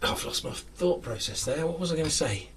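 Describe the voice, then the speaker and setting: A man's voice: on the high side, lively intonation, fairly quick, fairly bright tone, husky, distant mic. A man in his late thirties, hosting a casual live chat from his home model railway room, rambling with lots of fillers and frequent chuckles. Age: 30s.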